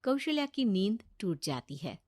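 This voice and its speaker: A woman's voice: medium pitch, big pitch swings, moderate pace, a little mellow. A woman reading scripted narration for a cartoon bedtime story.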